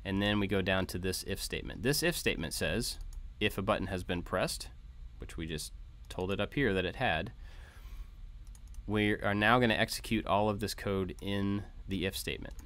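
Male tutorial host, low voice, informal step-by-step screencast narration. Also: moderate pace, fairly bright tone. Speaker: host of a maker tutorial channel. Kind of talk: informal code walkthrough narration. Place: voice-over for a screen recording.

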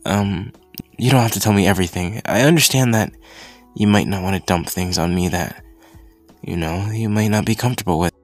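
A man playing a shy, kind teenage hero-school boy in an anime ASMR roleplay, speaking haltingly and apologetically, with stammers. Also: deep voice, soft background music, voice pushed down, close to an everyday voice. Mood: gentle, reassuring.

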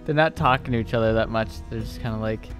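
A man's voice: fairly low pitch, fast talker, a bit bright. Man giving casual gameplay commentary over music. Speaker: video creator commentating his game roleplay.

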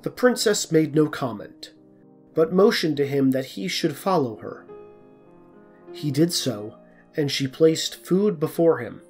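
A man's voice: on the high side, lively intonation, a little slow, darkish tone, soft background music. A man narrating a scripted folk tale as the storyteller of an audio drama.

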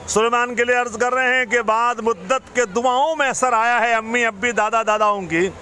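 A middle-aged man recites poetic couplets to guests in a florid, courtly, declamatory way as a restaurant entertainer. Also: very high voice. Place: busy outdoor restaurant courtyard at night. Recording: noisy open-air restaurant, phone recording.

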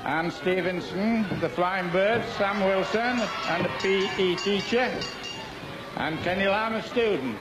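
A man's voice: high voice, unhurried, music in the background, low-quality recording. A man with a Yorkshire accent giving jokey, cheeky live commentary on an outdoor game show. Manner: bantering, cheeky, chuckling. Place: outdoor park event. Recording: outdoor event, crowd and background noise.

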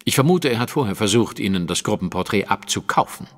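An actor playing a shrewd, self-assured detective who explains things precisely in a German audio drama. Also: male voice, fairly low pitch, lively intonation, fast talker, in a large hall. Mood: insistent, reasoning. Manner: precise, lecturing, deductive.

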